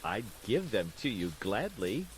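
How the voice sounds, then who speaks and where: Man doing a teasing little storybook boy, lively. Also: lowish voice, a little slow, a little hiss or hum, poor audio. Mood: mocking. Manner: teasing, dramatic. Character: mocking, sensible little village boy. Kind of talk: bedtime storybook character reading. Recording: steady rain sounds behind the voice.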